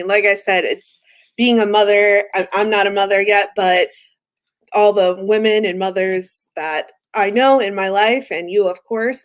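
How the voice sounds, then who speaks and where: A young woman of middle pitch hosts a casual video-call interview. She asks long, loose questions padded with ums and you-knows. She is heard through a laptop webcam. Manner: friendly, filler-heavy questions. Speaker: interview host.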